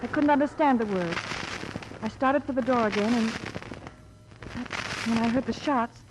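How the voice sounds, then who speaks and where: An American actress playing an earnest, frightened young murder suspect in an old-time radio drama, halting and pleading, on a noisy vintage recording. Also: female voice, a little high, lightly acted. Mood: anxious, earnest. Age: young adult. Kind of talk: 1940s-50s American radio drama acting.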